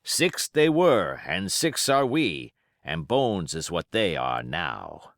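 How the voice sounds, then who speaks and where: A man's voice: low-pitched, wildly swooping pitch, takes it slowly, fairly bright tone, no room sound, heavily acted. A man voicing a cunning, commanding pirate cook with folksy seafaring dialect and emphatic oaths, in an audiobook. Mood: uneasy. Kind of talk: audiobook character voice.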